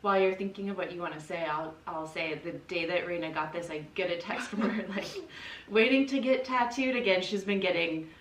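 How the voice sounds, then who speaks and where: A woman's voice: fairly low pitch, fairly quick. An American woman co-hosting a casual podcast conversation, asking questions and reflecting in an informal, empathetic way. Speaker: podcast co-host, interviewer. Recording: echoey room, distant mic, faint noise.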